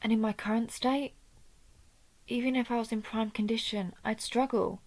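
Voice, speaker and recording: A woman playing a guilt-ridden vampire hunter in an intimate audio roleplay, with a halting, brooding delivery. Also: on the high side, steady tone, a little mellow. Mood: remorseful, afraid. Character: guilt-ridden female vampire hunter, wounded, turning dark. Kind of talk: dramatic audio roleplay spoken to one listener.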